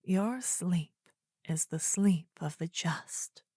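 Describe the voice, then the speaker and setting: A woman voicing a possessive, adoring demon queen in a breathy, husky whisper for a fantasy ASMR roleplay, intimate and soothing with formal phrasing, close to the microphone. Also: fairly low pitch, takes it slowly, warm tone, no room sound. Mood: soothing, protective. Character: possessive, adoring demon queen, tender yet menacing female lover. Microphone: close ASMR microphone, dry.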